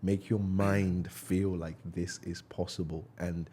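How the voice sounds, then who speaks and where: A man's voice: low voice, little pitch movement, takes it slowly, warm tone, a little hiss or hum. A man hosting a podcast, speaking in an encouraging, motivational way and often agreeing with short "yeah"s. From United Kingdom. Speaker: podcast host. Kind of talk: casual interview talk.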